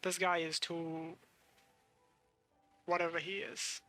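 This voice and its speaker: A game streamer giving casual, halting commentary, thinking aloud while playing. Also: medium pitch, level tone, sharp tone, soft background music. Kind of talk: casual let's play commentary.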